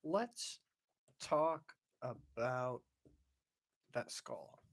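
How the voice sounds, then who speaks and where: A man's voice: medium pitch, lively intonation, very slow, creaky. A man co-hosting a casual livestream, trading playful jokes and banter. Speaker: podcast co-host.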